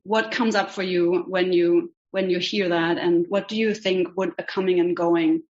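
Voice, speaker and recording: A woman with a German accent leads an online class, her voice pitched on the low side. She speaks hesitantly, filling gaps with frequent ums. The audio is dull and lo-fi, as from a video call. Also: fairly even intonation, moderate pace, darkish tone. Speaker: rabbinical student teaching a class.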